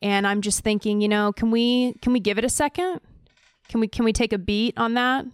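A woman co-hosting a podcast, giving her opinion casually and earnestly, haltingly repeating words as she searches. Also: on the high side, clean tone, no room sound, clean studio sound. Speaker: podcast co-host.

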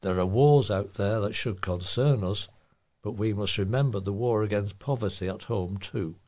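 A man reads local newspaper pieces aloud in a fairly low voice. His tone is a little husky, and the delivery is that of a volunteer reader working from a written text. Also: normal speed, warm tone.

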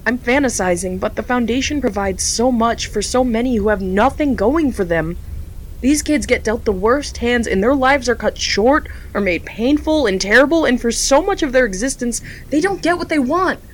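A woman's voice: a little high, lively intonation, moderate pace, a little hiss or hum, lightly acted, poor audio. An actress playing an earnest, hesitant young woman who works for a charity. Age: young adult.

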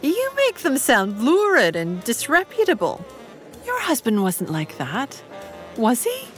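An actress playing a genteel, naive, soft-hearted lady in an audio drama, speaking in an expressive, proper, earnest manner. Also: high-pitched, a little slow, faint music behind, full character voice. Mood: earnest, worried.

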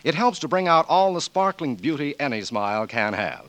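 A man's voice: fairly high pitch, big pitch swings, moderate pace, fairly bright tone, no echo. A male announcer delivering scripted 1940s radio commercials in a polished, emphatic salesman's manner.

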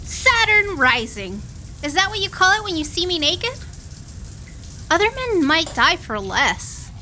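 An actress playing a brash, sarcastic, blunt space captain in an audio drama. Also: female voice, extremely high pitch, big pitch swings, measured pace, bright, forceful tone, a little hiss or hum, exaggerated voice. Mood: teasing. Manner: sardonic, blunt, mocking.

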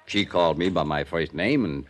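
An actor playing a defensive, streetwise hotel bartender in a 1950s radio drama, talking in a tough, clipped way. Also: male voice, sits low, normal speed. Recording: old radio broadcast with music.

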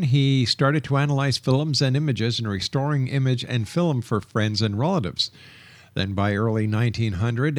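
A man's voice: medium pitch, no room sound. Male radio host, dry studio sound. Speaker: radio talk show host. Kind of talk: broadcast introduction and interviewing. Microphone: close studio microphone.

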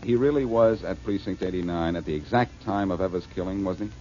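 A man's voice: sits low, brisk, darkish tone, natural voice. An actor playing a wry, brooding male detective in an old radio drama. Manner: dry, wry. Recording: dull old radio broadcast with hiss.

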